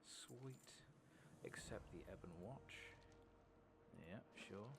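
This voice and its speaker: A man giving soft-spoken ASMR commentary on a game playthrough, drifting between murmurs and whispers, with a gravelly low voice. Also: drawn-out words, heavy background noise. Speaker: gaming streamer.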